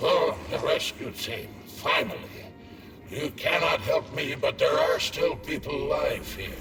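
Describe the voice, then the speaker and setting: An actor playing a doomed, resigned survivor in a video game, with a creaky voice. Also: male voice, fairly high pitch, animated, measured pace. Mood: weary, pleading. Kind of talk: video game character dialogue. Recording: echoey, distant, music behind.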